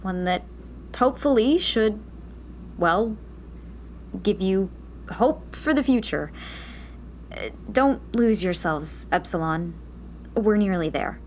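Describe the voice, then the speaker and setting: A woman's voice: medium pitch, expressive, takes it slowly, pauses often, slight background noise. A woman playing a guarded young rebel in hiding, dry and hesitant, in a sci-fi audio drama. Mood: hesitant, hopeful. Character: guarded, wry young female rebel radio host in hiding.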